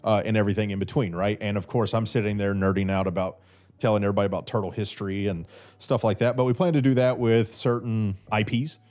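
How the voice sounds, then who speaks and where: A man's voice: fairly low pitch, steady tone, fast, darkish tone. A man in his thirties from North Carolina hosting a podcast, answering listener questions in a casual, rambling way with lots of fillers, a little stuffy from a cold. He talks into a studio mic. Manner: rambling, many fillers and restarts. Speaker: podcast host. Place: small room with brick wall, seated in armchair. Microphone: red studio mic on boom arm.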